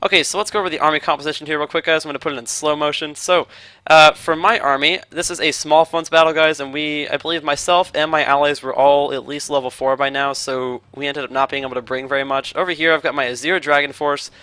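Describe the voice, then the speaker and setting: Bright, clear-voiced man, slightly scratchy. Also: a little high, fairly even intonation, no echo. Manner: upbeat, brisk play-by-play explaining. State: recovering from illness, voice a bit scratchy. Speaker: gaming commentator. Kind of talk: commentary on a strategy game replay.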